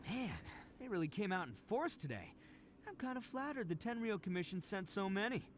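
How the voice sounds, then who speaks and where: An actor playing a brash young oni gang boss in a game, boisterous and cocky, with game music underneath. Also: male voice, high-pitched, big pitch swings, fairly quick, slight background noise. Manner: boisterous, swaggering, shouts battle cries. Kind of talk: studio game voice acting. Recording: game audio with background music.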